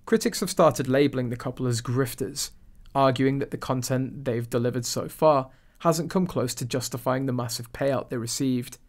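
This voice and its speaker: A man's voice: mid-range voice, normal speed. A man reading a scripted voice-over for a gossip channel in a snide, mocking tone.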